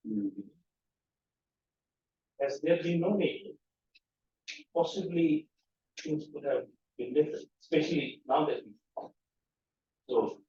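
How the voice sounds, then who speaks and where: A man's voice: a little high, gravelly, dark tone. A man asking a question from the audience, hesitant and stumbling over restarts. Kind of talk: halting question from the floor. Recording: echoey, dull room sound.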